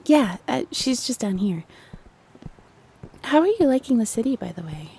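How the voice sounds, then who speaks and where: A woman's voice: a little high, big pitch swings, moderate pace, mellow, a little hiss or hum. Woman acting a chatty, slightly flustered young fantasy creature. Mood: friendly, chatty. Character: short friendly young female non-human city local. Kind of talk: roleplay audio drama.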